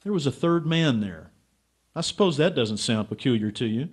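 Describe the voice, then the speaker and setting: An actor playing a stubborn, brash, sarcastic writer turned sleuth in a radio drama. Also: male voice, mid-range voice, expressive, normal speed, slightly rough. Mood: indignant, sarcastic. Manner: insistent, sarcastic. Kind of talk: radio drama acting with narration.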